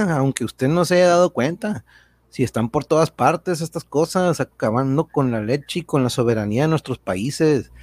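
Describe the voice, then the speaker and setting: A man's voice: medium pitch, darkish tone, dry recording, lightly acted. A man playing a folksy, indignant explainer, full of colloquial tags and rhetorical questions in a radio drama. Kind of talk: educational radio drama dialogue.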